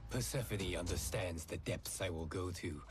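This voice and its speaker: Man playing a grim, very deep-voiced Yakuza assassin. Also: normal speed, over loud music, close to an everyday voice. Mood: grim resolve. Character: grim, duty-bound male Yakuza assassin of ruthless resolve. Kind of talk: scripted dramatic narration.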